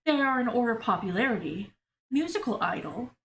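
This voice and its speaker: A woman's voice: fairly high pitch, lively intonation, brisk, a bit bright, a little hiss or hum. A woman voicing a proud, formal female history teacher at a school for magical girls, lecturing her class. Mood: earnest lecturing. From Brazil, as the figure.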